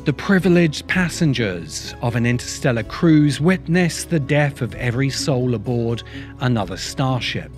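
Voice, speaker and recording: A man's voice: medium pitch, lively intonation, normal speed. A middle-aged man reading scripted documentary narration as the host. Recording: music under the voice.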